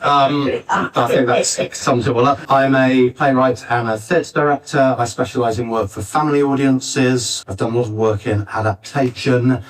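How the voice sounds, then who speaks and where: A man's voice: mid-range voice, steady tone, normal speed, few pauses. A man in his late fifties talking informally as a panelist at a live discussion. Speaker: panelist, playwright and theatre director. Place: arts centre theatre, live panel. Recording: distant, varying-quality backup live recording.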